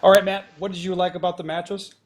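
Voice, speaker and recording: Male podcast host, slightly higher voice, rambling and casual. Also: level tone, fast talker. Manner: rambling, self-correcting. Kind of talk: casual chat.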